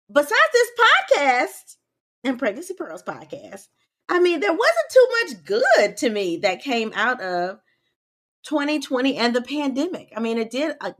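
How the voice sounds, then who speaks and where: A woman's voice: fairly high pitch, fairly bright tone. A woman in her forties co-hosting a podcast, chatting emphatically and talking straight to her listeners. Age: 40s. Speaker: podcast co-host. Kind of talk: casual chat.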